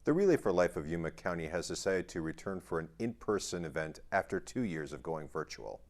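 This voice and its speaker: Young male local news reporter, lower-pitched voice, scripted delivery. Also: big pitch swings, fairly quick. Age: young adult.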